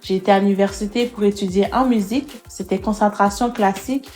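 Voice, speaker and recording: A woman's voice: medium pitch, brisk, darkish tone, soft background music. A young woman singer, answering interview questions casually, with hesitant fillers and restarts.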